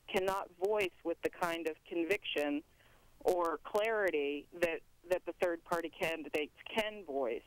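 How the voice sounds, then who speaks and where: A woman's voice: lowish voice, no echo. American woman caller on a phone line. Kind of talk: earnest political opinion on a call-in. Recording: dry telephone line.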